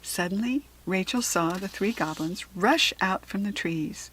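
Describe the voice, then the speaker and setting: Casting: American woman, storybook narrator, mid-range voice, careful and expressive scripted reading for children. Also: medium pitch, measured pace, slight background noise, rough recording.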